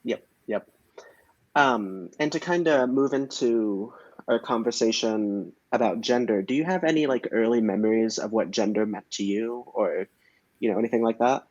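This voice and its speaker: A man's voice: lowish voice, moderate pace, darkish tone, no room sound. A man interviewing a guest over a video call, friendly and hesitant, with many fillers as he asks his questions. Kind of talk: casual oral history interview. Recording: dull, dry lo-fi video call audio.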